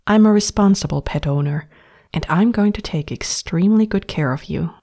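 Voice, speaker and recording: A woman's voice: lowish voice, normal speed, vocal fry, dark tone, voice pushed up. A woman voicing a cheerful, bossy young woman who talks sweetly and patronizingly to her new pet. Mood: bright, condescending.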